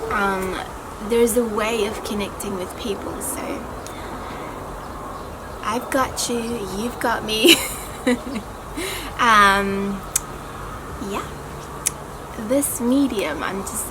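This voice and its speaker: A young woman with a soft voice answers viewer questions in a casual vlog, chatty and self-interrupting, often losing her words and laughing at herself. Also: mid-range voice. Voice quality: soft, not loud. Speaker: vlogger. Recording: audible background noise.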